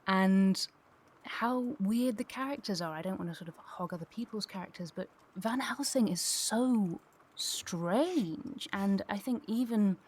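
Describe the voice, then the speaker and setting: A woman's voice: mid-range voice, a little slow, a little mellow, husky. A woman talking enthusiastically and a little digressively in a conversational panel interview. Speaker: voice actor and tutor.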